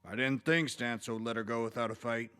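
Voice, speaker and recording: A man's voice: mid-range voice, fairly even intonation, brisk, bright, forceful tone, clean tone, natural voice. A man playing a stern, terse frontier marshal in a radio Western. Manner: authoritative, terse. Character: stern, steady frontier marshal keeping order.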